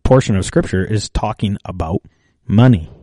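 A man's voice: lowish voice, dark tone, no room sound, clean studio sound. A man co-hosting a casual faith and finance chat, thinking aloud with many fillers and restarts. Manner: thoughtful, halting, with restarts and fillers. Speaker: podcast co-host.

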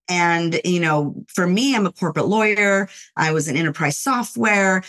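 A woman's voice: fairly low pitch, a bit bright. Thoughtful middle-aged woman from Los Angeles. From Los Angeles, United States. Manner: measured, thoughtful, often says "you know". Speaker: guest, corporate lawyer and author. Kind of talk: reflective interview talk.

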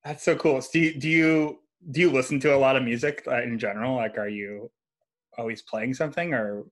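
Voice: medium pitch, fairly quick. A host asking casual interview questions with lots of ums, often trailing off with "or?".

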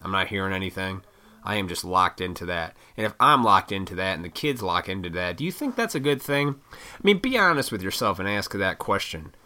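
A man in his thirties rants off the cuff, swearing and wandering between points. His voice sits low but comes out hard and pushed, with a froggy throat he keeps clearing. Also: sing-song, fairly quick, sharp tone. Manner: profane, opinionated, rambling. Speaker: vlogger. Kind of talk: rambling casual rant to camera.

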